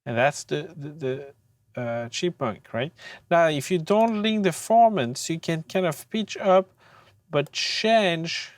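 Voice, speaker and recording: A tutor giving an informal software demonstration, speaking in a hesitant, halting way with frequent pauses. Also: mid-range voice, slight background noise. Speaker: audio mixing tutor.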